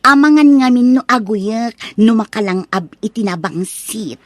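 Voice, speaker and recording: A vain, show-off young wife in a comedy radio drama. Her high voice swoops with dismissive, fake-modest airs, recorded close and dry. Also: expressive, unhurried, no room sound. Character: boastful, vain young mother married to money.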